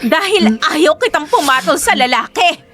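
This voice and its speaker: A woman's voice: sits high, very animated, moderate pace, a bit bright. An actress playing a domineering, judgmental middle-aged mother in a Tagalog radio drama, scolding and melodramatic. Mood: wounded. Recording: faint background music.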